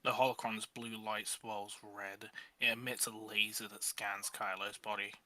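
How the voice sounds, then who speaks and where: A man narrating a sci-fi script reading, delivering stage directions as deliberate storytelling. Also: on the low side, flat delivery, sharp tone, a little hiss or hum.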